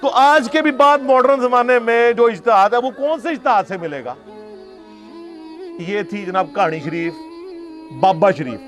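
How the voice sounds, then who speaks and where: A man's voice: extremely high pitch, animated, unhurried, pauses often, a bit bright. A Pakistani man giving an informal religious lecture, arguing in a sarcastic, conversational way with rhetorical questions. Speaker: Islamic preacher and lecturer. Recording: music playing behind the voice. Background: music.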